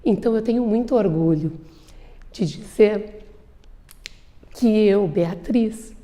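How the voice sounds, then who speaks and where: A woman's voice: mid-range voice, slow, dark tone. A woman, a lawyer speaking earnestly in an interview. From southern Brazil. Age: 50s. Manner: earnest, emphatic. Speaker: lawyer and businesswoman. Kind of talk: interview answer.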